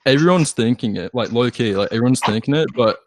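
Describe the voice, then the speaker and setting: A man's voice: medium pitch, expressive, quick pace, no echo. A man with an Australian accent chatting casually, hesitant, self-deprecating and sweary. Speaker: band musician, podcast guest. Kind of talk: casual chat.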